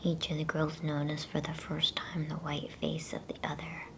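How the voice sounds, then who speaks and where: Female ASMR reader, hushed creaky whisper, flat and very unhurried, for bedtime storytelling. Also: sits low, background noise. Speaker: ASMR storyteller reading a children's novel aloud.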